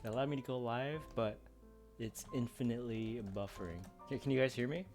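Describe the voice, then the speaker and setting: Man, mid-pitched voice, casual livestream gaming chat, informal, self-deprecating, music in background. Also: a little fast, a little mellow. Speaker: streamer.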